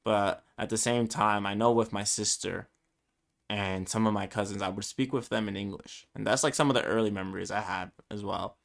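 A man in his twenties with a fairly low voice rambles through a solo podcast episode, circling back and restarting his thoughts. He grew up speaking Spanish first. His tone stays casual and self-deprecating. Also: steady tone, moderate pace, gravelly. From Brooklyn, New York. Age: 20s. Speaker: podcast host.

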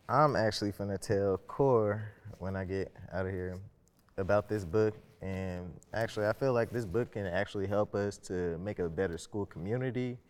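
A man's voice: sits low, darkish tone. A male student panelist giving a casual answer in a discussion. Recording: echoey hall reverb.

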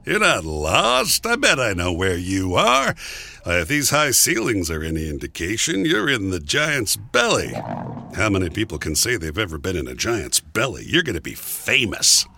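Guy doing a cocky storybook coyote, breezy n flippant, bright gravelly voice, never worried. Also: lowish voice, wildly swooping pitch, moderate pace, heavily acted. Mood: cheerful, cocky. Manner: breezy, flippant, casual slang. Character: cocky, upbeat trickster coyote, unfazed by danger.

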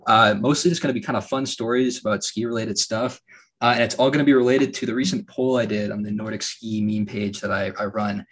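Laid-back slightly raspy young male host. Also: fairly low pitch, a little fast. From Alaska. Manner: relaxed storytelling with frequent fillers and laughs. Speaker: podcast host. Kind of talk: casual podcast chat.

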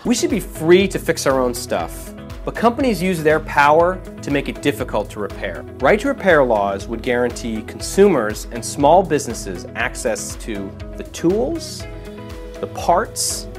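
A man's voice: fairly high pitch, sing-song, unhurried, over music. A man, a consumer advocate, making a firm and persuasive case in a recorded clip.

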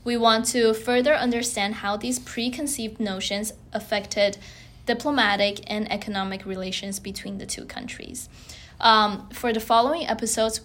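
A woman's voice: medium pitch, a bit bright. A young woman from China, a college student hosting a podcast, reading her intro in a plain, slightly slipping way. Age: young adult. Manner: plain, read-aloud delivery with small slips. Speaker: podcast co-host. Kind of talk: semi-scripted podcast intro.

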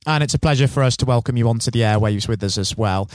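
A man's voice: medium pitch, fast talker, dry recording, clean studio sound. A man hosting a leadership podcast, reading a formal introduction and then interviewing his guest. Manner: smooth, formal and polished. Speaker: podcast host.

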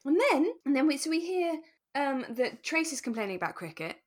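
A British woman co-hosting a relaxed chat about radio drama, expressive and enthusiastic in her delivery. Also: high-pitched, brisk. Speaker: podcast co-host and actor.

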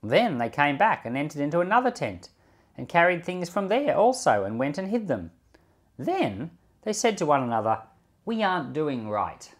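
A man speaking as a Bible podcast host, with measured, emphatic phrasing in devotional reading and commentary. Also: on the high side, sing-song.

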